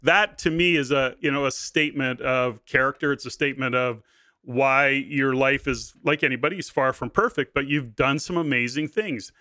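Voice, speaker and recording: Bright-voiced man hosting a podcast. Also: medium pitch, normal speed, no room sound, studio quality. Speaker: podcast host. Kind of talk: conversational interview.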